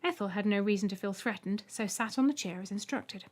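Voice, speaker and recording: A woman's voice: mid-range voice, fairly quick. A woman reading a scripted short story aloud as an audiobook narrator.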